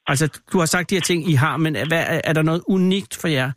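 A man's voice: fairly high pitch, a bit bright, no echo, clean studio sound. A man hosting a casual radio interview, feeling his way through questions with hesitant phrasing and teasing asides. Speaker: radio host interviewing a caller. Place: radio studio.

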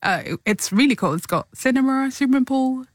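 A woman's voice: high voice, moderate pace, no echo. Woman guest, laughs a lot, upbeat chatty, dry recording. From London. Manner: laughs often, lively and playful. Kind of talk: casual interview chat.

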